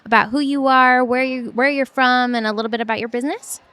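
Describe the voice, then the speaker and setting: A woman with a fairly high voice hosting a podcast interview. She keeps things casual, asking questions and encouraging her guest with quick reactions. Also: steady tone, pushed and bright, clean tone. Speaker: podcast host.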